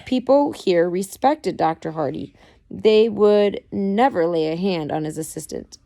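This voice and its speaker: A woman's voice: fairly low pitch, unhurried, vocal fry, mellow. A woman narrating a story aloud from a book, reading it expressively. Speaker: amateur audiobook reader.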